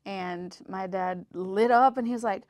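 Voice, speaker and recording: A woman from southern Mexico, a podcast guest, telling her life story in a relaxed way with many ums. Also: mid-range voice, unhurried, no room sound, clean studio sound. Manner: relaxed, frequent ums and uhs. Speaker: podcast guest, medical interpreter. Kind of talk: casual storytelling.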